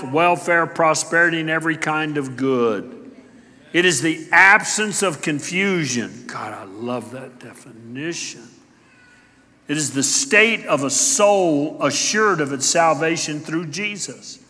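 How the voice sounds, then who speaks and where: Man, middle-aged, pastor, emphatic sermon, high-pitched, echoing sanctuary. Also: a little slow. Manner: emphatic, swings from loud to hushed. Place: church sanctuary.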